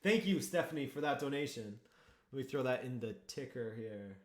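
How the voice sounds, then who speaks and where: A man's voice: medium pitch, animated, normal speed. A man hosting a live football watchalong stream, chatting casually with viewers and reacting to the match. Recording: echoey room.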